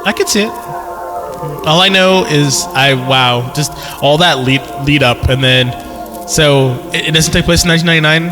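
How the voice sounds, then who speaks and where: A man's voice: fairly high pitch, moderate pace, music in the background. A man in casual podcast banter, riffing with crude, joking humour. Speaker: podcast panelist.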